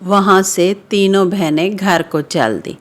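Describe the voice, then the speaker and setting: A woman's voice: medium pitch, takes it slowly. A woman narrating a scripted children's story.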